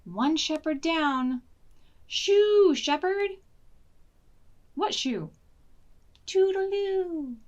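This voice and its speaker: Cheeky storybook sheep, voiced by a woman, gossiping and teasing. The pitch sits high and bounces around, with little exclamations. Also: expressive, extremely slow, long pauses, muffled recording, full character voice. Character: gossipy, wry, cheeky sheep chattering in a picture book.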